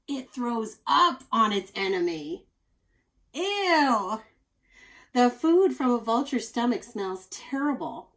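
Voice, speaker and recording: A woman with a mid-pitched voice reads a children's nonfiction book aloud. She sounds like a teacher, explaining expressively and speaking directly to young listeners with chatty asides.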